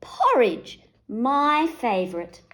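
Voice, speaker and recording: Casting: storybook big bad wolf played by a woman, exasperated, snarly, raised pitch with big swings. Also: sing-song, takes it slowly, fairly bright tone, heavily acted. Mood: eager. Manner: growling, snarling, spluttering exclamations. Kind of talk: storybook character voice.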